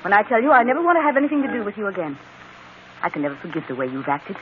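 On a thin, faintly hissy old radio broadcast, a woman acting a genteel young society lady speaks with lively, swooping intonation. She is gracious yet resolute, in vintage radio-play style. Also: medium pitch, very fast, phone-quality sound, natural voice. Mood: firm, cold.

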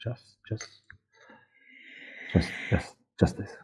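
A man in his forties with a Polish accent, giving halting interview answers as he searches for words and repeats himself. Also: a little mellow. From Poland. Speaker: guest, audio drama director and producer. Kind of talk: unscripted interview talk. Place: dark room, on a video call. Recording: muffled phone-like video-call audio.

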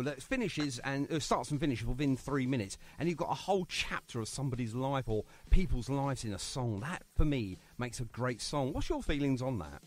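A man hosting a radio show, chatty and jokey, interviewing a guest. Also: medium pitch. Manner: chatty, jokey, self-mocking.

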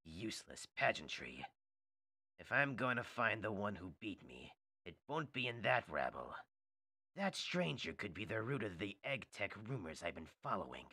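Man acting a brooding low-voiced hedgehog antihero. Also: big pitch swings, normal speed, bright, forceful tone, husky, no room sound, heavily acted. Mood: grim, menacing. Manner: low, terse, grim.

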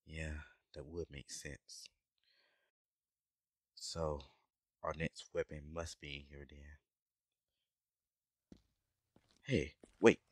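A man voicing a gruff, protective dragon adventurer in an audio roleplay, talking urgently and with exasperation to his companion. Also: rumbling low, dry recording, clean studio sound. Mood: cautious. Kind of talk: scripted ASMR audio roleplay acting.

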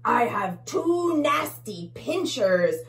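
A woman voicing a menacing bug monster who growls and speaks slowly and loudly. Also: on the high side, big pitch swings, pitched above the performer's own voice, exaggerated voice. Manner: growly, slow and loud.

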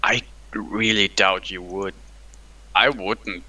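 Blunt, bright-voiced young man. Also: deep voice, fairly even intonation, slow. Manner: blunt, teasing, laughs often. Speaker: gaming channel co-host. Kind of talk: casual chat while playing.

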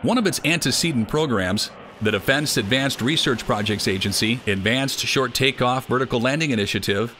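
A man giving scripted documentary narration in an even, measured delivery. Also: medium pitch, background noise. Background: music.

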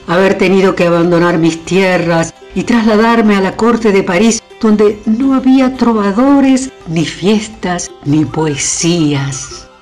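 A woman's voice: mid-range voice, a little mellow, natural voice. An actress playing a proud, domineering older queen in a historical radio drama, with a theatrical, scornful delivery. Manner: theatrical, emphatic repetitions, haughty. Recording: music under the voice.

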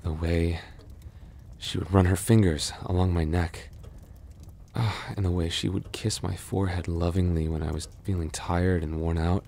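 A man playing a cursed, lovelorn young prince in a roleplay audio drama, halting and formal in his speech. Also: deep voice, warm tone, a little hiss or hum. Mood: tender, longing. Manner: halting, breathy sighs and gasps, formal archaic phrasing.

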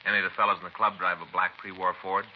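An actor playing a practical, plain-spoken police detective in a 1950s radio drama, with clipped delivery. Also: male voice, deep voice, level tone, quick pace, lightly acted. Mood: matter-of-fact. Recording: dull 1950s radio recording, faint noise.

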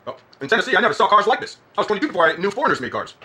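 A man's voice: high-pitched, very animated, very fast, crowd noise. A man playing a restless, joking gas station attendant in a drama scene, with a put-on American Southern accent and a folksy, rambling way of talking. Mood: folksy, chatty.

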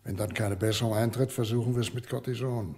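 A paternal older family doctor in a radio drama. His voice is low and very rough and husky. He speaks in a measured, grave way, kindly consoling. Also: steady tone, dark tone. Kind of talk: radio play acting.

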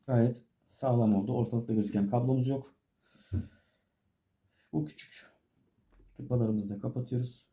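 A man's voice: mid-range voice, creaky, warm tone, slight background noise. A man giving a casual hands-on tutorial, explaining informally step by step as he works. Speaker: DIY tutorial presenter.

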